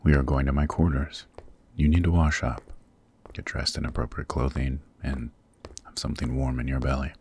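A man voicing a possessive, protective demon king in a husky, very deep voice, formal and intimate, in an ASMR roleplay. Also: little pitch movement, normal speed, a little mellow, a little hiss or hum. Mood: commanding, caring. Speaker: voice actor.

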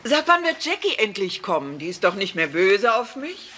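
Lively, meddling sitcom grandma. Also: female voice, medium pitch, moderate pace, a little hiss or hum. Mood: chatty. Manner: chatty, fussy, needling. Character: meddling, prim, easily affronted sitcom grandmother. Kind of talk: dubbed sitcom acting.

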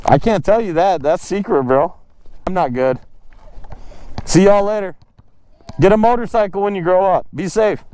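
A man's voice: high-pitched, normal speed, long pauses, mellow, smooth. A young man vlogging from his motorcycle on a residential street, casual and joking, laughing often. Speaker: motovlogger. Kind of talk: casual vlog chat. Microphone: helmet-mounted action camera.

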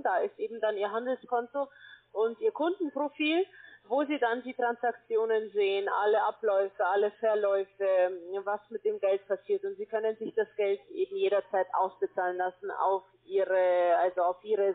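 A woman giving a telephone sales pitch as a call center agent, explaining in a halting, repetitive way. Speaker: call center account manager, telesales agent. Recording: tinny, band-limited phone line.

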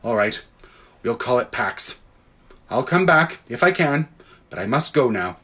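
A man voicing a practical, sharp-tongued young girl in a storybook, with a prim manner. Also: fairly high pitch, sing-song, moderate pace. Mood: conciliatory. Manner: prim, sarcastic.

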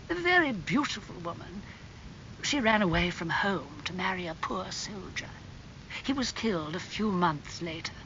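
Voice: mid-range voice, pushed and bright, lo-fi sound, slight background noise, voice pushed up. A narrator playing a genteel, gossipy aristocratic aunt in a dramatised audiobook.

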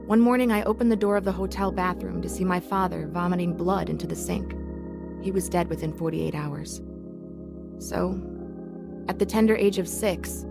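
Stubborn bitter daughter in audio drama, kinda low voice, music behind. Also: female voice, creaky. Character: bitter, stubborn adult daughter narrating her sad past. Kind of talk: dramatized first-person radio narration.

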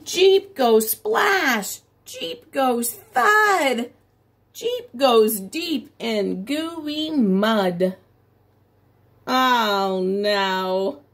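A middle-aged woman reads a picture book to small children in a fairly high voice with noticeable vocal fry. Her pace is slow, with story phrases drawn out expressively and simple explanations added like a preschool teacher. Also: lively intonation, fairly bright tone. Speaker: preschool teacher reading aloud. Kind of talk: picture-book read-aloud for young children. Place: small room at home, indoors by a window.

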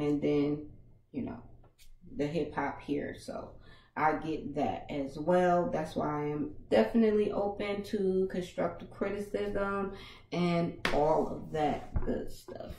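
A woman in her thirties with a naturally raspy voice, hosting a casual livestream podcast from a small home studio on a headset mic, chatting loosely with viewers in slang. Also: low-pitched, steady tone, unhurried, mellow, roomy sound, low-quality recording. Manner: laid-back, slangy, talks to viewers in chat. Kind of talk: casual livestream chat reading comments. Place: small home studio with foam panels, indoors. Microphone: over-ear headset mic.

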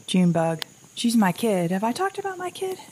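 A woman playing an anxious, wry middle-aged mother surviving after an apocalypse, in a halting, rambling audio drama monologue. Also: medium pitch, big pitch swings, a little mellow, slight background noise, natural voice.

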